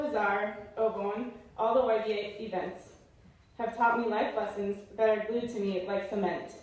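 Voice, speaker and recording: A woman's voice: mid-range voice, darkish tone. Young woman reciting verse in echoey hall. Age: late teens, young adult. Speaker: graduating senior giving a speech. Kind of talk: reciting a rhyming poem as a speech. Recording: very echoey hall, distant mic.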